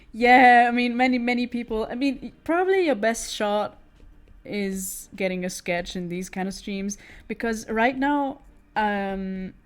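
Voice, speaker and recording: Giggly British-accented woman chatting. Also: medium pitch. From Italy. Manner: chatty, giggly, restarts often. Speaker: streamer. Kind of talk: casual chat while drawing.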